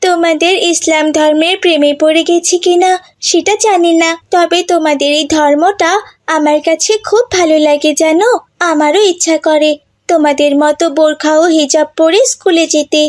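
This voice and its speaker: A woman's voice: very high voice, steady tone, normal speed, no echo, studio quality. A woman voicing a friendly, sincere schoolgirl in a cartoon, explaining herself earnestly and with admiration. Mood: earnest, admiring. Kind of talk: cartoon voice acting.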